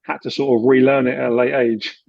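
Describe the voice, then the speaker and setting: A man from the UK chatting in an interview, relaxed, with many fillers and restarts. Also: medium pitch, brisk, gravelly, no echo, muffled recording. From United Kingdom. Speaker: guest, expat finance expert. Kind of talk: casual interview conversation.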